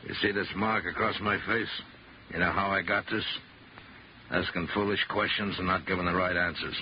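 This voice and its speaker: A deep, gruff gangster boss, played by a male actor in a vintage radio crime drama. He is terse and mock-polite, with menace under every line. The recording is muffled, with clear noise. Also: steady tone, normal speed, natural voice. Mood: menacing, curt. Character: scar-faced menacing gangster boss, curt and sardonic. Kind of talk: 1950s radio detective drama acting.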